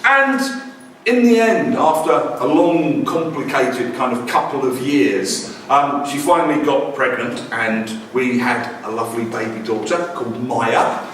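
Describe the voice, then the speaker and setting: A man's voice: medium pitch, measured pace, fairly bright tone, slight background noise. A man in his forties telling a wry, self-deprecating personal story about family life as a language teacher. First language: English. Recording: very echoey room, distant mic.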